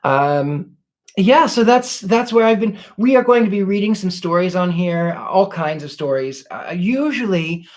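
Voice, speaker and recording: A man hosting a casual livestream, answering viewer questions in a courtly, gracious way, thanking people warmly and pausing to restart his sentences as he searches for words. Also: high voice, lively intonation. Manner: reflective, many restarts while searching for words. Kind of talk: casual live Q&A chat.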